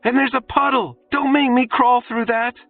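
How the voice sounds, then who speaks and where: A man voicing a startled but quick young woman in a storybook reading, in a high falsetto. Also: fairly even intonation, dry recording, recorded from a distance. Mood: calling out. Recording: tinny phone-like audio, faint music.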